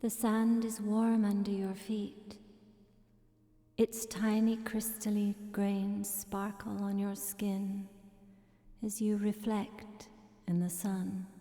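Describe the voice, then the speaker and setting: A woman reading a poetic narrative in a gentle, measured, meditative way, with pauses between short phrases. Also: mid-range voice. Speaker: poet and narrator. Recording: long reverberant echo with music behind. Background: music.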